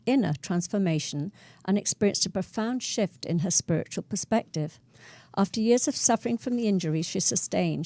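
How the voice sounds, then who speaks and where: Woman host, mellow dark tone, dry close sound, encouraging and affirming. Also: on the low side, dry recording. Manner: warm affirming interjections, reads guest intro. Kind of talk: talk show host chat.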